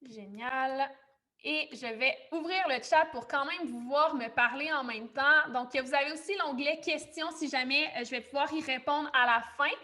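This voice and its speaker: A woman's voice: sits high, moderate pace, bright, forceful tone. A woman in her thirties with a Québécois French accent, hosting an informal online webinar in an effusive, welcoming way. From Quebec, Canada. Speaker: webinar host and business coach.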